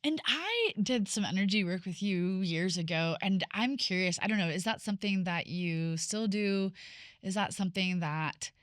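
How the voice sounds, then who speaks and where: A woman's voice: medium pitch, animated, normal speed, dry recording, studio quality. A woman hosting a podcast, asking long, open-ended questions with curiosity. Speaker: podcast host. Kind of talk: conversational interview.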